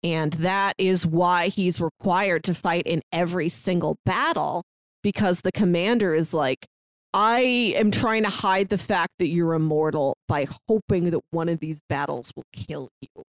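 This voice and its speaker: A woman's voice: lowish voice, measured pace, no room sound. Casual woman podcaster with a dry, close sound. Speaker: podcast co-host. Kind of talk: casual book discussion.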